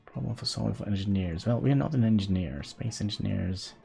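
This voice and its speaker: A man's voice: on the low side, a little fast, vocal fry, warm tone, soft background music. A man from Norway, chatting casually to his live-stream viewers while he plays, laughing often. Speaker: game streamer.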